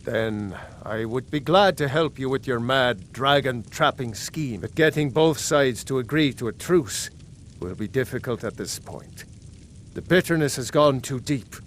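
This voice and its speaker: An actor playing a proud, wary lord of a city in a fantasy video game, speaking in a measured, earnest, commanding manner. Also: male voice, medium pitch, slight background noise. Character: proud, wary male Nord jarl guarding his city. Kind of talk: video game character dialogue.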